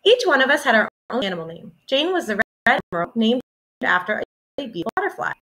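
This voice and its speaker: A young woman reading a children's picture book aloud in an expressive, deliberate teacherly storytelling manner. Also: on the high side, low-quality recording. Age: young adult. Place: small room at home, indoors.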